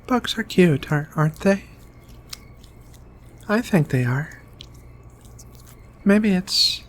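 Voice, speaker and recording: Woman as a slow, low-voiced gentle giant alien woman. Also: a little hiss or hum. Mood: tender, admiring. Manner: tender, hesitant, murmuring hums between phrases. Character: gentle giant female alien xenobiologist, affectionate, bookish. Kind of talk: soft-spoken ASMR roleplay.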